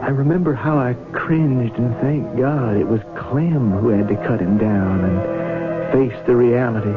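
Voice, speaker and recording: A man's voice: mid-range voice, a little slow, natural voice. A man acting an earnest, haunted young farm heir in a 1970s radio drama. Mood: wistful. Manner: earnest, reflective. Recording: thin phone-like old radio broadcast, music.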